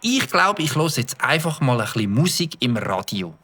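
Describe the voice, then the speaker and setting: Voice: medium pitch, sing-song, moderate pace, a bit bright, a little hiss or hum. An actor voicing a fed-up young male beaver in a cartoon, grumbling. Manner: grumbling, exasperated.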